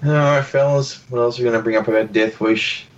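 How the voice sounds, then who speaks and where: A man's voice: medium pitch, steady tone, fairly quick. Distant, dull-sounding man asking questions. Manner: brief, steering questions and quips. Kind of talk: casual film discussion.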